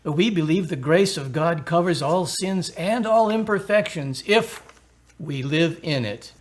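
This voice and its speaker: Man playing an earnest, kindly priest, higher-pitched voice. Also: a little slow, natural voice. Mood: earnest preaching. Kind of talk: radio drama acting.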